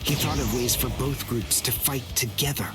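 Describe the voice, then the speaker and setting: A man's voice: medium pitch, normal speed, natural voice. An actor playing a proud, brooding young man with a serious, controlled, bitter delivery in an anime game dub. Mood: bitter recounting. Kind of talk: English anime game dub, dramatic monologue. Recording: loud music behind the voice.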